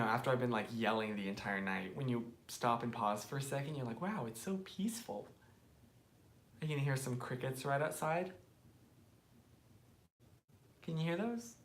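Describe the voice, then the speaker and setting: A young American man chatting casually and rambling on a live stream from his phone, sitting outdoors at night. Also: mid-range voice. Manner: rambling, chatty, reads out viewer comments.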